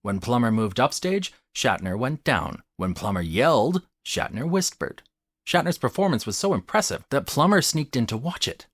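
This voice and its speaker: A man's voice: medium pitch, big pitch swings, moderate pace, fairly bright tone, no echo, clean studio sound. A man delivering a scripted video essay as a host, in an articulate, instructive and emphatic way. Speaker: host of a theatre commentary channel.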